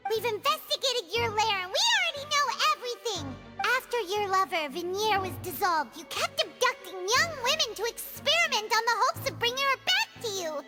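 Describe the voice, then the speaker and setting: Extremely high squeaky little companion. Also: female voice, expressive, a little fast, few pauses. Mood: accusing. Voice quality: squeaky, cute. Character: tiny childlike excitable female companion, feisty. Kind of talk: anime-style video game voice acting. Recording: music under the voice.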